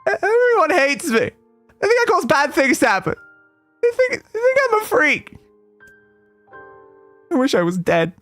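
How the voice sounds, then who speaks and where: Brief: hurt young girl, high, wobbly, despairing, voiced by a man. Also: big pitch swings, fast, fairly bright tone, faint music behind. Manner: pleading, tearful. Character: bullied young girl, miserable and tearful.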